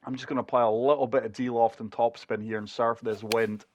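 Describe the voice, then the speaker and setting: A man's voice: medium pitch, flat delivery, fairly quick, a little hiss or hum. A man chatting through a golf video game, struggling for breath with a chest infection. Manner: chatty, talks viewers through each shot. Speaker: gaming channel host. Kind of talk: casual golf game commentary.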